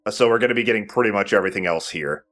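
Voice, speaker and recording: A man's voice: fairly low pitch, rapid-fire, fairly bright tone. A young man casually commentating on a video game with friends in a jokey manner. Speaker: let's play host and player.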